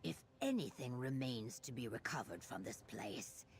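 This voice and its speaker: A grave, scholarly companion in a fantasy video game. Her voice is extremely low for a woman and gravelly, with measured, formal phrasing over faint ambient noise. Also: expressive. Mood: grave, purposeful. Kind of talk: scripted game dialogue.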